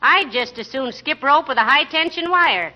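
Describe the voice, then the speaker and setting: An actress playing a sensible, skeptical wife with dry wisecracks, in 1940s radio comedy style. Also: female voice, high-pitched, lively intonation, normal speed. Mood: skeptical, sardonic. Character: wry, sensible, skeptical wife, fond but teasing. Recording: muffled, noisy old 1940s radio recording.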